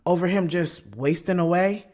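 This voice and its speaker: A woman's voice: lowish voice, normal speed, warm tone, lightly acted. An actress with an American accent playing a blunt, sarcastic young woman writer, narrating her love life in a slangy, conversational audio drama style. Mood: reflective. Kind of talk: first-person scripted narration in an audio drama. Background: music under the voice.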